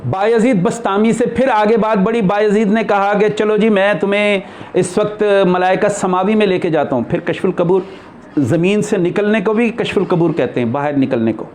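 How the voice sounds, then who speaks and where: A man's voice: sits high, normal speed, darkish tone. A man teaching a class in a conversational religious discourse, explaining and posing rhetorical questions. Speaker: spiritual teacher lecturing a class.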